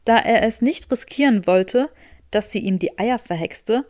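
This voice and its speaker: A woman's voice: on the high side, a little slow, a little mellow, dry recording, clean studio sound. A woman reading scripted fiction as an audiobook narrator.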